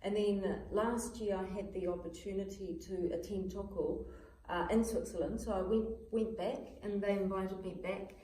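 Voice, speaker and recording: A woman's voice: mid-range voice, steady tone, normal speed, dark tone. A woman hosting a small gathering, talking informally about her own experience. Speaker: event host and speaker. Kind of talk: informal personal talk to a small group. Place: indoor room at a small gathering. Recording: roomy echo, some background noise.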